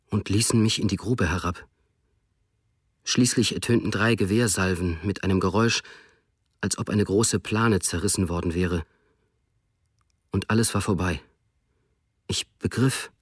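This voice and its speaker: A man with a fairly low voice narrates a soldier's memoir in the first person. His tone is grave and inward-looking, recorded close and dry with no room sound. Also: slightly rough, no echo.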